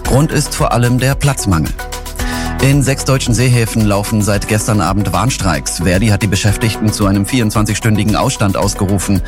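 Man, low voice, local news update, brisk, music underneath. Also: steady tone. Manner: brisk, informal address to listeners. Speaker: radio newsreader.

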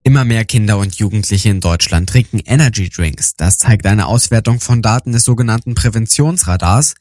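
A man reading regional radio news in a measured, neutral way. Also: fairly low pitch, darkish tone, no echo, studio quality. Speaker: newsreader.

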